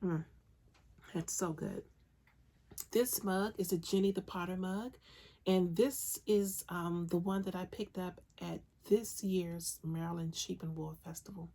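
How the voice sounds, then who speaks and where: A woman hosting a crafting podcast from home, her voice raspy, husky and congested after being sick. There is a gravelly fry under her mid-pitched talk. She chats along eagerly, with plenty of ums. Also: fairly even intonation, unhurried, mellow. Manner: chatty, enthusiastic, frequent ums. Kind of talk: casual solo podcast chat.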